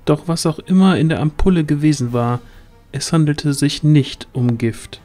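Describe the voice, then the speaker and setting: A man's voice: a little high, normal speed, mellow. A man giving scripted narration for a fantasy audio drama, as its storyteller. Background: music.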